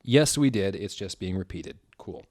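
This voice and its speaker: A man's voice: low-pitched, animated, normal speed, darkish tone, dry recording, studio quality. A man hosting a comedic dramatic reading, theatrical in delivery and dropping amused, exasperated asides.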